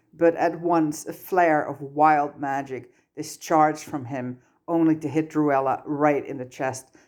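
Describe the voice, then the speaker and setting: Low-voiced woman narrating fiction. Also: darkish tone. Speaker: amateur fan fiction narrator. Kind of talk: scripted story narration.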